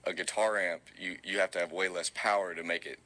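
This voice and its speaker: A young man, answering interview questions in a relaxed, rambling way with many fillers. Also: brisk. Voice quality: low voice. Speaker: musician guest. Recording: tinny phone-like recording.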